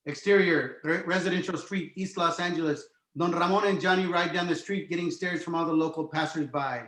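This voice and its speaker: A man narrates a screenplay, reading out scene headings and stage directions. His voice sits a bit high, and the room rings with echo. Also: steady tone, fairly quick, fairly bright tone. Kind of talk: scripted narration, screenplay table read. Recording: roomy, echoing home recording.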